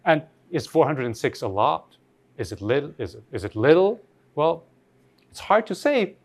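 Animated, thoughtful male lecturer from Austria. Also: on the high side. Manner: thoughtful, halting with frequent rephrasing. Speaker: academic lecturer. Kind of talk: reflective academic lecture, spoken off the cuff.

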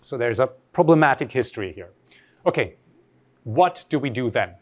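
A man's voice: mid-range voice, lively intonation, normal speed, darkish tone. A man from Austria, giving an academic lecture in a thoughtful way, often rephrasing mid-sentence and putting questions to his audience.

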